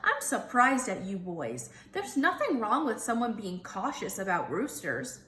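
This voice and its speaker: A woman voicing a kindly, patient male farmer in a children's storybook, explaining things gently in a folksy way. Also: mid-range voice, wildly swooping pitch, a little slow, reverberant. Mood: reassuring.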